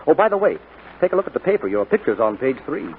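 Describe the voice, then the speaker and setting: An actor playing a devoted, possessive fiancé in a 1940s radio drama. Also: male voice, a little high, sing-song, quick pace. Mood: breezy, affectionate. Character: devoted, possessive jilted fiancé, breezy then pleading. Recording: muffled scratchy 1940s radio recording.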